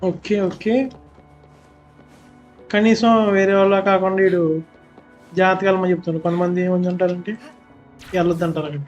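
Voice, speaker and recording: Young telugu-accented guy streaming, very fast, high voice, dull mic, music faint. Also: level tone. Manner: quick, chatty, mixing in casual asides. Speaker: game streamer. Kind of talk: live gameplay commentary.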